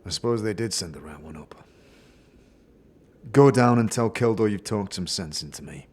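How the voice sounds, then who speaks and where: A man voicing a huge, battle-scarred, weary older father, speaking in a subdued, halting, brittle way. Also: lowish voice, animated, darkish tone, husky. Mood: gruff, resigned.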